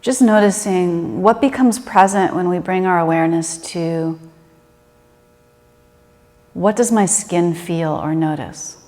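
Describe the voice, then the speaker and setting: A woman leading a guided meditation in a gentle, unhurried and conversational manner. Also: fairly low pitch. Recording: reverberant room with long echo.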